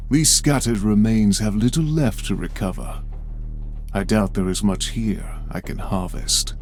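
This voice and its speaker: A man as a low-voiced, bleak warrior-priest. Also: unhurried, a little mellow, faint music behind, close to an everyday voice. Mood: bleak resignation. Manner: formal, somber, bitter. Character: bleak, weary male warrior-priest, battlefield medic of space marines. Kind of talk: dramatic video game cutscene acting.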